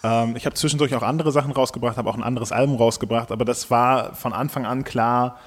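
Ein Mann Ende zwanzig, der im Interview nachdenklich über sein Musikalbum spricht, mit vielen Füllwörtern und Neuansätzen. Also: male voice, medium pitch, fairly even intonation, quick pace. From Germany. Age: late 20s. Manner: thoughtful, many fillers and restarts. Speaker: musician guest. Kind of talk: casual interview talk.

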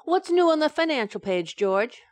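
A woman's voice: high-pitched, sing-song, moderate pace, dry recording. A woman playing a scatterbrained, coaxing young wife in an old-time radio sitcom, with a breezy, innocent comic delivery. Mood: wheedling.

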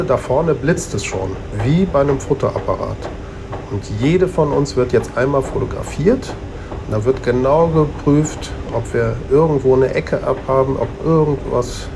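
A man's voice: medium pitch, creaky, background noise, natural voice. A man playing a friendly, knowledgeable returnable bottle who patiently explains things in a children's radio play. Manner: reassuring, explanatory.

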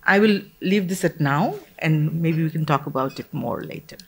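A woman from Bangladesh speaking as a panelist in a discussion, earnest and measured, with pauses and restarts. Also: sits low.

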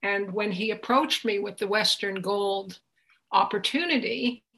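A woman's voice: mid-range voice, a little slow, bright, forceful tone, poor audio. An older woman talking conversationally as a guest in an interview. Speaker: translator, guest.

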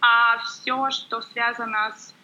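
Woman, very bright sharp voice, fast and chatty, muffled lo-fi call sound. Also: on the high side, flat delivery, a little hiss or hum. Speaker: guest blogger. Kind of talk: casual interview chat.

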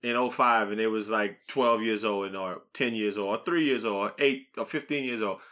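A man's voice: fairly low pitch, brisk, bright, forceful tone. A man hosting a podcast, debating casually with friends in a blunt, profane, teasing way. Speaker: podcast host.

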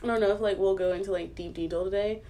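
Woman in her twenties, podcast co-host, casual chat, mid pitch, lots of fillers and laughing. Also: fairly even intonation, fast talker, warm tone. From United States. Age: 20s. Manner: chatty, laughs often, many fillers.

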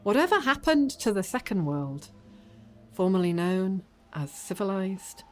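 A woman's voice: medium pitch, big pitch swings, slight background noise. A woman playing a solemn inhabitant who recites poetry phrase by phrase in a radio play. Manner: measured, listing phrase by phrase. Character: solemn female inhabitant narrating a migration corridor.

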